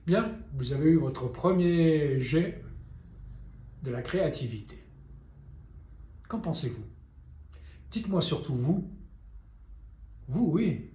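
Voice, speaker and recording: An older man tells a story to his viewers with a warm, mellow voice. He talks in a loose, chatty way full of wry asides and direct questions. His voice echoes around the room. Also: fairly high pitch, expressive, slow, long pauses. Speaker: painter and sculptor. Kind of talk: storytelling talk to camera.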